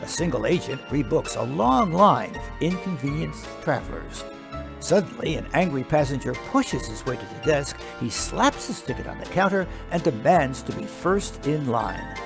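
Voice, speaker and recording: A man's voice: a little high, expressive, moderate pace, vocal fry, over music. A man reading scripted introductions as master of ceremonies for a program of sketches.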